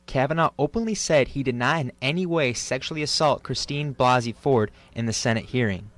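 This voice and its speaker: A man's voice: medium pitch, fairly quick, a bit bright, dry recording. A man working as a television news reporter, delivering scripted reports and stand-ups.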